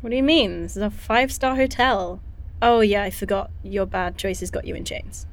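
A woman's voice: mid-range voice, normal speed, natural voice. A woman playing a wry, nosy young woman in an audio drama, informal and sweary. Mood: teasing, sarcastic. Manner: sarcastic, informal, sweary, talks to herself. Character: wry, nosy young woman stranded on a time-travelling train. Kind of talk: naturalistic audio drama acting.

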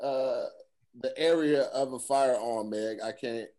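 A man's voice: mid-range voice, unhurried, dark tone, low-quality recording. A man teasing his friends in a casual group chat over a video call. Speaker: panelist.

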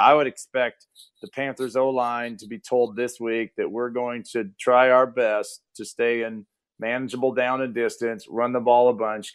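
A football coach with a scratchy voice, chatting upbeat and casual about his team on a regular sports segment. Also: lowish voice.

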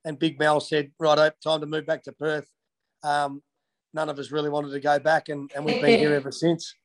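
A man's voice: a little high, little pitch movement, normal speed. An Australian man from Western Australia in his thirties, answering interview questions casually with many ums and restarts. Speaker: interviewee, former footballer.

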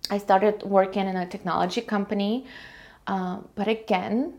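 A woman's voice: mid-range voice, steady tone, moderate pace, darkish tone. Woman telling her story. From Bulgaria. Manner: earnest, upbeat, with frequent ums and restarts. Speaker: guest entrepreneur. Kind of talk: casual interview storytelling.

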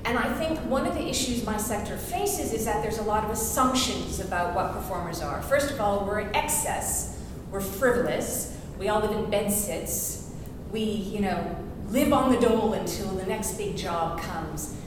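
A French American actress and voiceover artist giving a punchy, rhetorical, self-mocking speech at a forum. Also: female voice, mid-range voice, expressive, normal speed, few pauses. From France and the United States. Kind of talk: public speech. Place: large event hall. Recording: echoey hall from far off, noisy.

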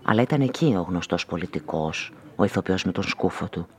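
An actor playing a weary, observant homicide detective who narrates his case in a measured, literary way in a radio play. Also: low voice, animated, darkish tone. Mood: wry, reflective. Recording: music under the voice.

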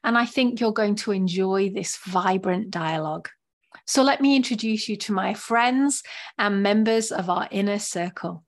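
A woman's voice: medium pitch, normal speed. A woman hosting a podcast in an upbeat, effusive way, laughing often. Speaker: podcast host.

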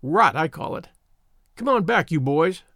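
A man's voice: a little high, lively intonation, a little slow, fairly bright tone, no echo, clean studio sound. A man voicing a sulky, defiant schoolboy scout in a story, dismissive and scornful.

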